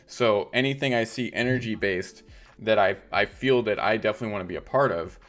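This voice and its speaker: A man hosting a live stream chats with a guest in a rambling way. His voice sits fairly low, and he fills his talk with "like" and "right?". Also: fairly quick, fairly bright tone. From Los Angeles area. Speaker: stream host. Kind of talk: casual interview chat.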